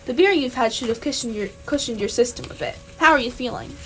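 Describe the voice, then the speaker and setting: A woman playing a calm, practical alien hitchhiker in an amateur radio play. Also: on the high side, quick pace, low-quality recording. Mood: calm, matter-of-fact. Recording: clear background noise.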